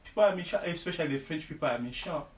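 Halting young man in an echoey room. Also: on the high side. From Kenya. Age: 20s. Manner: halting, restarts often, animated. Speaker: guest. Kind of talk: casual conversation. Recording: echoey room, faint background noise.

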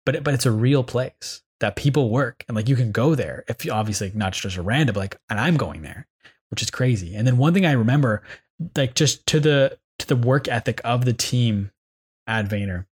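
Young man from Canada, podcast host, rambling eager storyteller, mid-pitched voice. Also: normal speed, husky. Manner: rambling, enthusiastic storytelling with many fillers. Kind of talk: casual reflective monologue.

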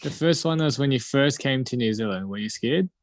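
Young man with a lively, questioning voice. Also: medium pitch, a little fast, dry recording, studio quality. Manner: curious, asks lots of questions. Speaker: podcast co-host. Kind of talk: casual chat.

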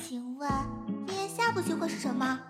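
Young female superfan, very high squealing voice, swooning and gushing, echoey with loud music. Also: animated, a little fast. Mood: eager curiosity. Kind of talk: Chinese audio drama acting.

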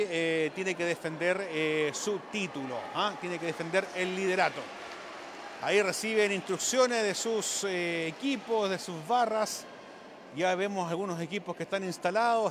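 A Chilean man with a high voice works as a live event reporter. He improvises his commentary, halting and repeating himself. Clear urban noise runs behind him. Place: live at a sports venue.

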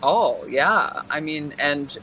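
A young woman from California with a deep voice, giving personal testimony as an interview guest in an earnest, halting way, full of pauses and restarts. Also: lively intonation, a bit bright, a little hiss or hum, poor audio.

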